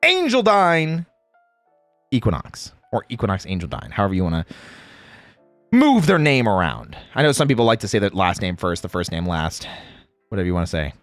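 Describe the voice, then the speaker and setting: A man's voice: lowish voice, brisk, pauses often, no echo, faint music behind. A man hosting a casual livestream, chatting playfully with viewers, teasing and breaking into exclamations. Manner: exclamatory, animated reactions. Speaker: streamer and host; game voice actor.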